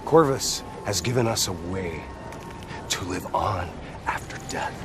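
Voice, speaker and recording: An actor playing an obsessed, menacing soldier gone rogue, intense and confrontational, in a video game cutscene. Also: male voice, deep voice, lively intonation, measured pace, music in the background. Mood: fervent.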